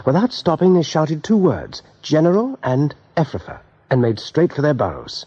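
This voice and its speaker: A man's voice: on the high side, wildly swooping pitch, moderate pace, warm tone, slight background noise. A man narrating an animal saga as a storyteller in a scripted radio play. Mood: calm, storytelling. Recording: dull 1980s radio recording.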